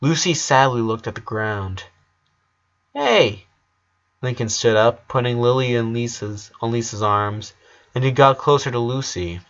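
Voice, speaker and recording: A man's voice: medium pitch, fairly even intonation, moderate pace, lo-fi sound. A man reading scripted story narration as the narrator.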